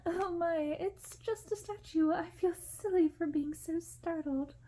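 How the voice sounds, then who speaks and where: A woman's voice: extremely high pitch, unhurried, warm tone, pitched above the performer's own voice. A woman reading a storybook in ASMR style, voicing a timid, sweet young princess with a meek, girlish manner. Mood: nervous, giggly.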